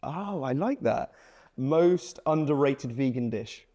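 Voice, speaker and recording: A man hosting an interview, upbeat and enthusiastic, firing off quick questions. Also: medium pitch, expressive, warm tone. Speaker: podcast host. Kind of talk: casual interview.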